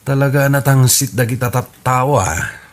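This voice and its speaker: Man as scheming old neighbor, Ilocano Filipino accent, medium pitch, radio comedy drama. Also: moderate pace, close to an everyday voice.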